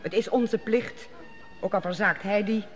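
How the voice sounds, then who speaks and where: A woman's voice: mid-range voice, a little slow, in a large hall, soft background music, natural voice. An actress playing a proud, commanding queen in an old radio play. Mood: regal. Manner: commanding, formal. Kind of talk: 1950s Dutch radio drama acting.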